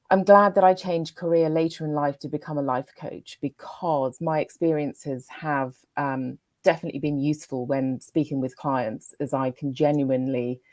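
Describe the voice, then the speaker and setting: Woman, fifties, low register, measured panelist, dry acoustic. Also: darkish tone, no room sound. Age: 50s. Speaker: panelist, life coach. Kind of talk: self-introduction on a panel.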